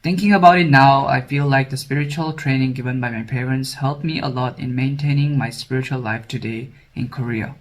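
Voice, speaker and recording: A young man from Sri Lanka reads a prepared testimony as a scholarship candidate. His delivery is formal and steady, with a mid-range voice, like a text read aloud. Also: mid-range voice, a little fast, hardly any pauses. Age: 20s.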